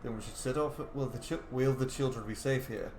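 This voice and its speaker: A man's voice: mid-range voice, brisk, darkish tone, reverberant, slight background noise. A man roleplaying his character in a fantasy video game, earnest and plain with casual asides. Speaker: player voicing his character.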